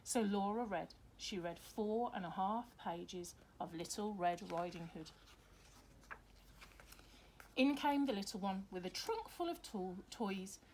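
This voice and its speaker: A woman's voice: mid-range voice, animated, takes it slowly, a little mellow, slight background noise. A woman reading a children's story aloud expressively, like a teacher to her pupils.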